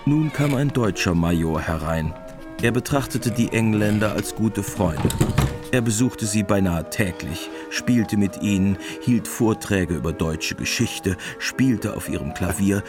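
Deep-voiced male radio play narrator. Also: lively intonation, a little slow, music in the background.

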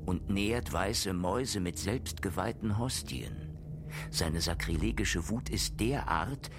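An actor playing a learned man who gravely expounds on dark occult matters in a radio play, speaking in a deliberate, erudite way. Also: low voice, noisy background. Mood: grave, disapproving. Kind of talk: German radio play dialogue. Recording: music behind the voice.

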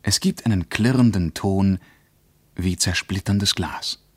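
Solemn traveller reading his diary for radio, deep voice, deliberate, super dry. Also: no echo. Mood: solemn, intent. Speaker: diary reader. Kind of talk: literary diary reading, German radio play.

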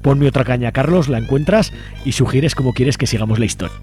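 A man's voice: mid-range voice, brisk, a little mellow. A man Spain, presenting a live community radio show as its host a studio microphone. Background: music.

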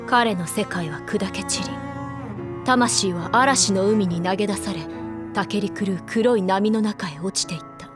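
A woman's voice: mid-range voice, unhurried, over music, lightly acted. A woman giving solemn, literary scripted narration for an audio drama.

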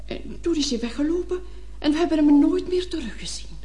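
Radio drama: genteel old lady, eager hostess and mother; high, very lively melody, gushing and chatty. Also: fairly quick. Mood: wistful, confiding. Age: older. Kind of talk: Dutch-language radio play acting.

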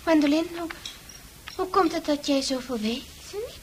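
A defiant, literal-minded young woman. She has a high, childlike voice and a stubborn, petulant manner, in a radio drama on an old recording with slight noise. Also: animated, normal speed. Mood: guarded. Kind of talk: Dutch radio play acting.

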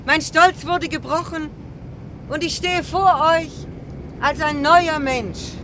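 An actress playing a repentant Salvation Army convert, giving an earnest, declamatory public confession in a radio drama. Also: female voice, high voice, slow, fairly bright tone, a little hiss or hum. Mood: solemn confession. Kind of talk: solemn street-preaching in a radio drama.

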